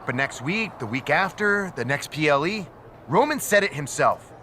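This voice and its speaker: Snide backstage antagonist for a game, forceful, slightly high, faint noise behind. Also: male voice, animated, moderate pace. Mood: scornful. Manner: sarcastic. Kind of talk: scripted video game cutscene acting.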